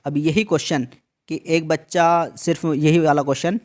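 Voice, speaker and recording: A man's voice: fairly high pitch, steady tone, normal speed, darkish tone. A man explaining an exam answer key in a tutorial, repeating each instruction and checking in often. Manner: repetitive, emphatic instructions with frequent "theek hai" check-ins. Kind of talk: screen-share tutorial walkthrough.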